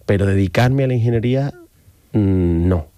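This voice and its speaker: A man's voice: lowish voice, normal speed, mellow, smooth, dry recording, clean studio sound. A middle-aged man from Spain with an Andalusian accent, talking as an interview guest in a rambling, hesitant way. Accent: Andalusian Spanish. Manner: rambling, hesitant, with many fillers and restarts. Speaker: guest, photographer. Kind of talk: casual interview conversation.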